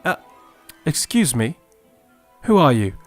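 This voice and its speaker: A man's voice: a little high, big pitch swings, slow, faint music behind, voice pushed down, heavily acted. A man voicing a meek, naive eleven-year-old boy, polite and puzzled, in a comedy parody.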